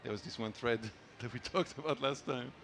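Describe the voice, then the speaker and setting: A man's voice: mid-range voice, brisk, vocal fry, a bit bright, a little hiss or hum. A man commentating on an esports tournament in a casual, joking, self-deprecating way. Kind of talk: casual banter during StarCraft tournament commentary. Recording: music behind the voice. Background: music.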